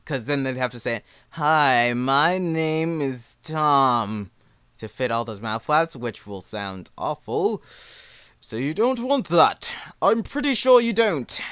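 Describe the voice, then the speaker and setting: A man's voice: medium pitch, animated, a little slow, a bit bright, clean tone, dry recording. A young man giving a casual, informal tutorial as an amateur, talking in a self-deprecating way. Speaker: amateur fan dub creator giving a tutorial.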